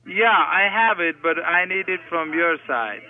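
A man's voice: fairly high pitch, lively intonation, a little slow. A man working as a telemarketing caller, asking scripted sales questions in a formulaic, polite way with frequent sirs, heard through a phone. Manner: formulaic, polite, repeatedly saying sir. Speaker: telemarketing caller posing as senior supervisor. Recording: tinny speakerphone call audio.

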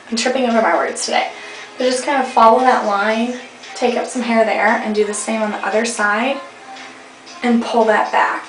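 A young woman with a slightly hoarse voice, chatting casually through a hair tutorial with rambling fillers, recorded on a webcam at home. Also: on the high side. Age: young adult. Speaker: beauty vlogger. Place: indoor room at home. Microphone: webcam at arm's length. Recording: echoey room, faint music behind.

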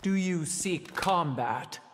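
Slow, stern warrior. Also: male voice, sits high, lively intonation, big-room sound, background noise. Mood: solemn challenge. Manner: solemn, deliberate challenge. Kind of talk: video game character acting.

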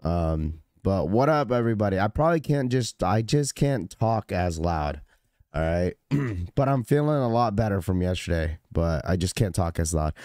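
Hoarse young man greeting stream viewers. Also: deep voice, animated, normal speed, no echo, clean studio sound. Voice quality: slightly cracky, congested voice. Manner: laid-back slangy shout-outs with fillers. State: hoarse, recovering from illness. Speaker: livestream host. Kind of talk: casual livestream chat greeting viewers.

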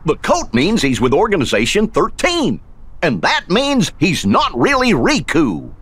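A man's voice: high voice, wildly swooping pitch, unhurried, fairly bright tone, exaggerated voice. A man voicing a goofy, good-hearted cartoon dog sidekick in a video game, with a throaty, folksy, earnest delivery. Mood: earnest reasoning. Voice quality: husky, throaty. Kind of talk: video game cutscene acting.

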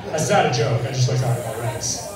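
A young man doing a stand-up set on a bar stage, with a dry, deadpan delivery, filmed on a handheld mic from out in the crowd. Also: mid-range voice, fast talker. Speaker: stand-up comedian. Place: bar stage with a live audience. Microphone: handheld mic, filmed from far in the crowd. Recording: echoey bar sound from far off, crowd laughter.

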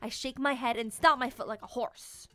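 Young female children's storyteller, high voice, expressive and playful delivery, light music underneath. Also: moderate pace. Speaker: storyteller and children's channel host. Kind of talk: animated children's book read-aloud. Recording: music behind the voice.

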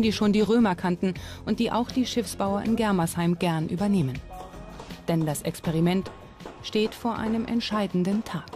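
A woman's voice: mid-range voice, warm tone. Female documentary narrator over music. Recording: music under the voice. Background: background music.

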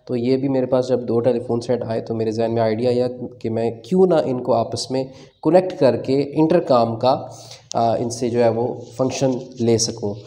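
A man's voice: medium pitch, normal speed, warm tone. A man with a Pakistani Urdu accent hosting a hands-on tech tutorial, chatting casually with fillers as he works with his hands at a table. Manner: casual explanatory, many fillers, describes while working. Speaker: tech channel host.

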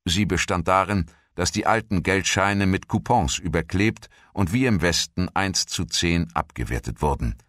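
A man with a low voice narrates a scripted documentary. His delivery is formal and measured, like prepared text read aloud. The sound is dry, with very little room around the voice. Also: a bit bright, no room sound.

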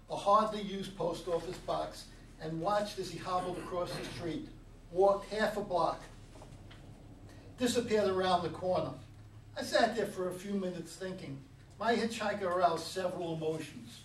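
Man acting a high-voiced lonely older father. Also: moderate pace. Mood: reflective. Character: wary, regretful father and grandfather, a lonely driver. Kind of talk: staged script reading with monologue. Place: meeting room with long table, indoors. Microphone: far camera. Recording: roomy, distant mic, background room noise.

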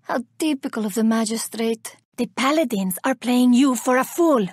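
A woman's voice: on the high side, moderate pace, a little mellow, slightly rough, no echo, studio quality. An actress voicing a menacing, scornful female reaper herald for a video game, haughty, theatrical and bent on vengeance. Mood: haughty contempt. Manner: cold, haughty, theatrical delivery. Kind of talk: video game character voice lines.

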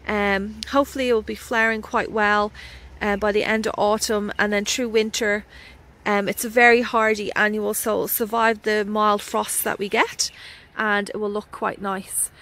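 A woman's voice: medium pitch, level tone, normal speed, fairly bright tone. A woman with an Australian accent giving a casual, enthusiastic walkthrough of her garden, with lots of ums, recorded outdoors on a handheld phone. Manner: chatty and enthusiastic, frequent ums. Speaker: gardening vlogger. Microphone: handheld phone camera.

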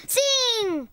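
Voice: very high voice, bright, forceful tone, no room sound, clean studio sound, full character voice. A performer voicing a cheerful, eager four-year-old boy in a European Portuguese children's cartoon dub. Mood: excited.

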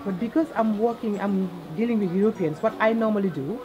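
A woman's voice: mid-range voice, brisk, lo-fi sound. A middle-aged woman with a Gambian accent, explaining cooking and local life as she hosts. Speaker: host, cook and charity founder. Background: background music.

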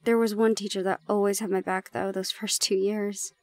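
A woman playing a shy, gentle young gorgon in an intimate audio roleplay, speaking softly and haltingly. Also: mid-range voice, level tone, no echo, natural voice. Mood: reflective, confiding. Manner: soft, hesitant, halting.

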